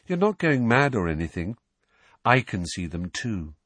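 A man reading a dreamy, calm teenage girl in an audiobook, speaking softly and serenely. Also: lowish voice, measured pace, husky, no room sound, exaggerated voice.

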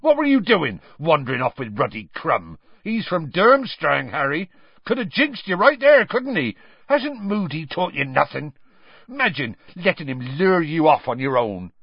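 A man voicing a huge, gruff, hot-tempered gamekeeper in an audiobook, growling in blunt colloquial speech. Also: medium pitch, sing-song, moderate pace, no echo, lo-fi sound, pitched above the performer's own voice. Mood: angry.

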